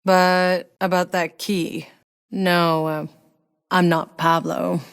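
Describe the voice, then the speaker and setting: A woman's voice: on the low side, flat delivery, takes it slowly, gravelly, clear, resonant tone. A woman playing a hardened, sardonic female soldier in a sci-fi video game, with a dry, blunt, clipped delivery. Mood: dry, guarded. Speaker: video game player character. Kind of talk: naturalistic game character voice lines.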